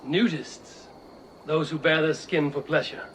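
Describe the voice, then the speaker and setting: An actor playing an unflappable Danish Viking raider in a video game, speaking in a dry, matter-of-fact way. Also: male voice, a little high, fairly even intonation, a little slow, fairly bright tone, a little hiss or hum, low-quality recording. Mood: puzzled. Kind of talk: video game dialogue acting.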